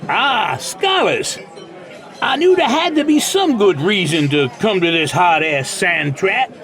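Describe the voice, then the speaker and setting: An actor playing a brash, folksy American country band leader with a Southern accent and a boastful drawl in a radio play. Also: male voice, sits high, very animated, unhurried, fairly bright tone, some chatter behind, full character voice. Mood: friendly. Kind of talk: radio drama acting.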